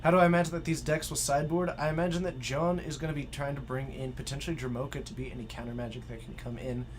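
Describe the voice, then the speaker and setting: A man's voice: medium pitch, fairly even intonation, quick pace, a little hiss or hum. A man in his twenties, commentating a live card game stream from a game store with dry joking banter. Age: 20s.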